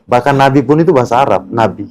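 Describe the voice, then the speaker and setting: Fast-talking man in casual discussion. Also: medium pitch, a little mellow, slight background noise. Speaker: discussion participant.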